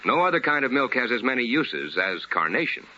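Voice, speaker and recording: A man working as a polished, formal radio announcer and host, delivering 1950s sponsor pitches like a salesman. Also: very animated, brisk. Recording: dull, noisy vintage radio recording.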